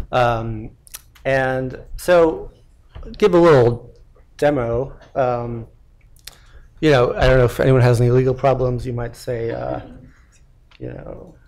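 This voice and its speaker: Young guy at a podium mic doing a startup demo, kinda gravelly, lots of ums. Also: medium pitch, darkish tone. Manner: casual, many fillers and restarts. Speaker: startup founder. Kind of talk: live product demo talk. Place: lecture hall at a tech meetup.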